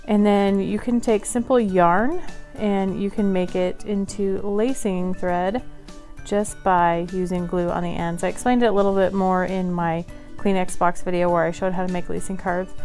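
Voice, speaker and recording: A woman's voice: fairly low pitch, fairly even intonation, moderate pace, a little mellow, faint music behind. A woman hosting a casual craft tutorial, talking in a chatty, friendly way and laughing at herself now and then. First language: English; Polish is her second language. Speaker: craft channel host.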